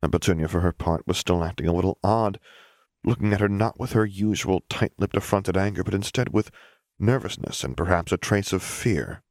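A man with a deep, low voice narrates fiction in a measured, dramatic storytelling style. The recording is close and dry, with no room around the words. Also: darkish tone, no echo. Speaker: audiobook narrator.

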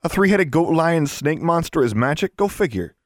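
A sardonic schoolboy acted by a male narrator, pitched a little up and lively in intonation. He talks in casual slang with a wry, unimpressed edge, like a kid in a storybook. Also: normal speed, no room sound, clean studio sound. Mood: sarcastic.

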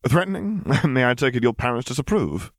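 A reserved naval officer in middle age, voiced by a male reader for an audiobook. He speaks formally and politely, pitched somewhat above the reader's narration, in a dry recording. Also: expressive, a little fast, no echo, close to an everyday voice. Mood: politely curious. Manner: formal, clipped, polite phrasing.

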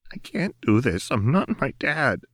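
A man voicing a fragile, volatile young woman in an audiobook, halting and shaky, repeating herself in distress. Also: mid-range voice, big pitch swings, fairly bright tone, dry recording, clean studio sound, pitched above the performer's own voice. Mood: shaky, despairing.

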